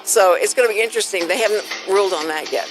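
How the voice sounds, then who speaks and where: A woman hosting a talk show in a casual, chatty way, telling stories in an animated manner. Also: medium pitch, fast, over music, low-quality recording.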